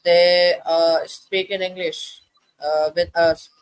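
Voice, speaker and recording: A man whose first language is Urdu, hosting an interview and asking questions in slow, simple phrasing. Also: high voice, little pitch movement, clean tone, dry recording, poor audio. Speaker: interview host.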